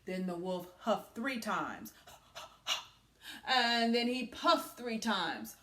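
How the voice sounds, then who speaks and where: A woman teacher reading a story aloud to young children, with dramatic, deliberate phrasing and hushed asides. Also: mid-range voice, big pitch swings, reverberant.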